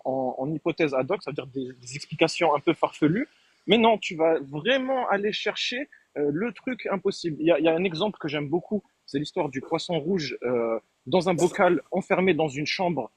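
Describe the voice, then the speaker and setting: Husky man explaining a point in a debate, hesitant, lots of fillers, dry close recording. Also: a little high, no room sound. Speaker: debate participant. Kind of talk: online debate argument.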